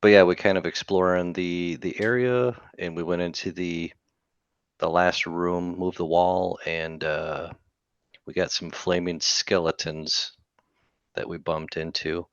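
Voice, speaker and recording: A man's voice: deep voice, little pitch movement, unhurried, clean tone, no room sound, highs cut off. A man playing a tabletop game, chatting casually as a player. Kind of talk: casual chat, recapping play.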